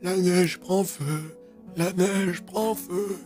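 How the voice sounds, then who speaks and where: A man's voice: sits high, steady tone, drawn-out words, a little mellow, soft background music, voice pushed up. A man voicing a strange, troubled man who mutters obsessively in an audio drama. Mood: trancelike muttering.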